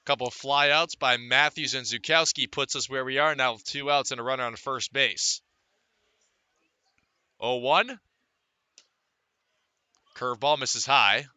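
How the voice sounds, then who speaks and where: A man's voice: medium pitch, sharp tone, clear, resonant tone, dry recording. A man calling live baseball play-by-play for radio, mixing clipped stat readouts with fuller descriptions of the action. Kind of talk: live radio sports commentary.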